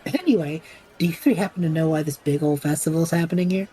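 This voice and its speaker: A woman's voice: low voice, quick pace, dark tone, a little hiss or hum, natural voice, poor audio. A woman voicing a cocky, flippant young male student adventurer in an audio drama, breezy, sarcastic and slangy.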